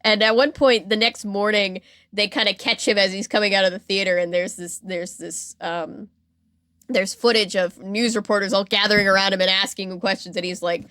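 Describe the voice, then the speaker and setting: A woman hosting a podcast, casually retelling history in a chatty, animated way with lots of filler "like". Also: medium pitch, normal speed, no room sound, studio quality. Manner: chatty, animated retelling with acted-out quotes and frequent "like". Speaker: podcast host. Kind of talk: casual storytelling about pop culture history.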